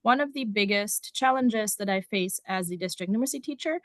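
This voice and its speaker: A woman from Germany works as a district numeracy teacher and walks a conference audience through her material. She speaks casually and fills her pauses with ums. Her voice sits in a middle pitch and sounds dry and close. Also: no echo. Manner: casual and explanatory, with frequent ums. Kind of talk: conference presentation.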